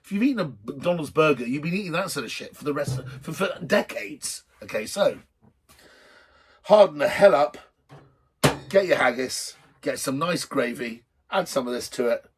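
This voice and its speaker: A middle-aged man with a slightly husky voice hosting a drinks show in a rambling, jokey, blunt and sweary unscripted chat to camera. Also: fairly high pitch, lively intonation, normal speed, slight background noise. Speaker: host of a drinks video show.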